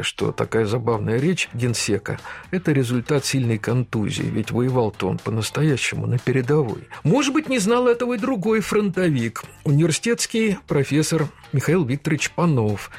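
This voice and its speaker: A man hosting a radio talk show who introduces his guest and tells stories at a measured pace. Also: on the high side, slightly rough. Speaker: radio host.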